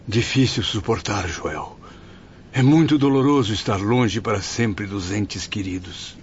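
A man's voice: on the low side, unhurried. An actor playing a tormented, confused, homesick man's spirit in a radio soap opera, with an anguished, theatrical, questioning delivery. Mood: grieving, pleading. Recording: muffled, noisy radio drama recording.

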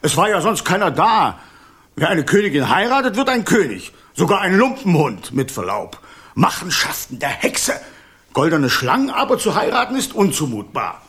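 An enchanted golden snake who was once a king, in a fairy-tale radio play. The voice is rather high for a man and husky, sweeping up and down with pompous courtesy and theatrical indignation. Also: very animated, normal speed, a bit bright, full character voice. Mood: sly, reassuring.

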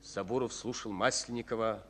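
An actor narrating a war tale in a solemn, scripted radio drama style. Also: male voice, fairly low pitch, fairly even intonation, moderate pace, lightly acted.

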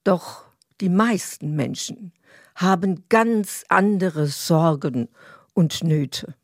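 Female narrator: slightly low register, slow scripted storytelling, deliberate phrasing, dry studio-like sound. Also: no echo. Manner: measured, deliberate.

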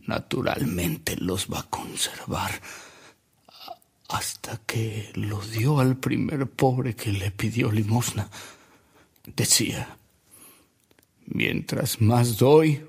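An actor playing a frail, remorseful old man who narrates his past in a radio drama, slowly and reflectively, with a husky, gravelly voice. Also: fairly low pitch, full character voice. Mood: reflective, weary. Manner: slow, reflective, with coughs and pauses.

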